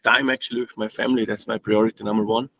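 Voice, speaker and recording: A man's voice: fairly low pitch, level tone, fast, vocal fry, fairly bright tone, dry recording. A man, speaking as a guest in a casual interview, hesitant with frequent restarts. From Austria. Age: 30s. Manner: hesitant, restarts often, non-native phrasing. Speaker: guest trading student.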